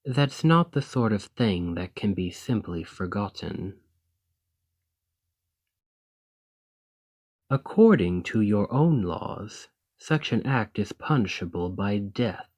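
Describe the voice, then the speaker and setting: A man's voice: on the low side, expressive, a little mellow. A man playing an ambitious foreign noble in a fantasy audio roleplay, speaking in a formal, composed, courteous and persuasive manner. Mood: grave, stern. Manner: formal, measured, deliberate, condescendingly sympathetic. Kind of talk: scripted fantasy ASMR roleplay monologue.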